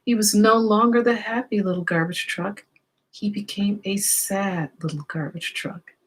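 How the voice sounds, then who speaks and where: A woman's voice: mid-range voice, fairly even intonation, moderate pace, husky. A woman reading a children's story aloud, expressively, with explanatory asides and questions for young listeners.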